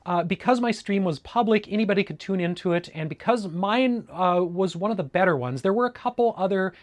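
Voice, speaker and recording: Middle aged american guy w a pretty high voice, chatting and explaining his hobby on a vlog. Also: expressive, fairly quick. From Alaska, United States. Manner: conversational, explanatory, anecdotal. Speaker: video host, radio scanner hobbyist. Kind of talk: casual informative vlog talk.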